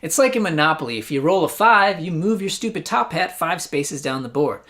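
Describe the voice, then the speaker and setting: A man's voice: on the high side, sing-song, brisk, fairly bright tone. An American man hosting a satirical news show, delivering scripted comedic commentary in a sarcastic, mock-incredulous way.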